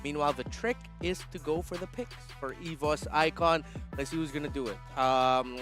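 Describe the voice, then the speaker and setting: An excitable man from the Philippines calls a live esports match. His voice sits on the high side for a man, and he draws words out for dramatic weight. Music plays faintly underneath his commentary. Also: moderate pace. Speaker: esports shoutcaster.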